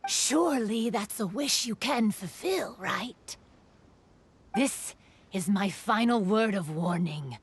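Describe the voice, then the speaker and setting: A woman's voice: mid-range voice, lively intonation, takes it slowly, slight background noise. A woman voicing a haughty, prickly young female yokai with curt delivery in an English game dub. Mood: cold warning. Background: faint music.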